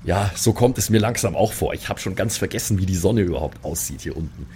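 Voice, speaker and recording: A man's voice: sits low, lively intonation, fast talker, mellow, slight background noise. A man improvising casually as a player in a tabletop role-playing game, hesitant and resigned in manner. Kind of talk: casual improvised in-character play.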